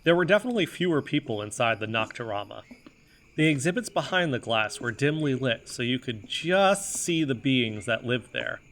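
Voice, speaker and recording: A man's voice: medium pitch, expressive, moderate pace, a bit bright. An actor playing an earnest, brooding amateur investigator who narrates his own conspiracy-minded story in an audio drama. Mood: musing. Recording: faint background noise.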